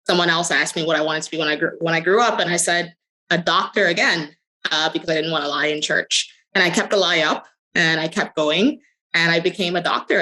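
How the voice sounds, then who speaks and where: A middle-aged woman answering interview questions conversationally, telling her story in short phrases with hesitant pauses. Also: fairly low pitch, bright, forceful tone, poor audio. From the Bahamas. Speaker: interview guest, crypto company executive.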